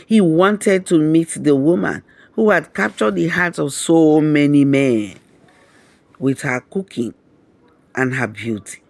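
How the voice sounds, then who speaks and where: A man narrating a scripted romantic folktale in a measured, dramatic storybook manner. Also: a little high, vocal fry, no room sound.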